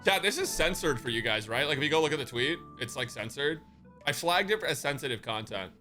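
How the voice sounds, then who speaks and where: A man with a bright, forceful voice, pitched a little high, talks casually to his livestream chat. He is slangy, joking and given to exaggeration and swearing, with faint music playing behind him. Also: expressive, a little fast. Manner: slangy, profane, joking, hyperbolic. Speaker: live streamer reacting to a game.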